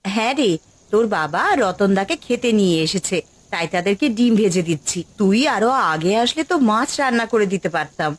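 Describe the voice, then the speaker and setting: A woman's voice: medium pitch, expressive, brisk, natural voice. A woman voicing a caring, inquisitive village housewife and mother in a cartoon. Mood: motherly. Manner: inquisitive, homely. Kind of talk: cartoon voice acting.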